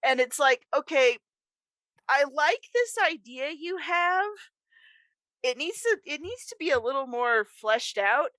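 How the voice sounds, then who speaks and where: A woman's voice: high voice, expressive, unhurried, a bit bright, no room sound. A woman co-hosting a podcast, chatting casually about a film in an emphatic way with exasperated asides. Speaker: podcast co-host. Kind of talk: casual chat, film discussion.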